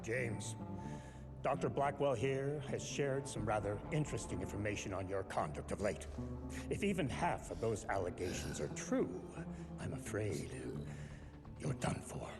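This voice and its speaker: An actor playing a stately, fatherly American president in a video game, formal, measured and courteous. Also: on the low side, sing-song. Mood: stern, measured. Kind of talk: video game cutscene acting. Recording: loud music underneath.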